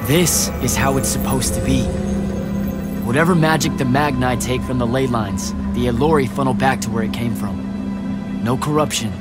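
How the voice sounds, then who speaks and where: A man's voice: medium pitch, moderate pace, over loud music, lightly acted. A man playing an earnest, determined young soldier in a video game, speaking with urgent, pleading conviction.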